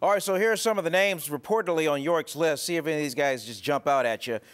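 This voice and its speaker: A man's voice: on the high side, quick pace. A man anchoring a television sportscast with a punchy, playful delivery. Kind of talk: lively sportscast, scripted narration. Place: TV news studio.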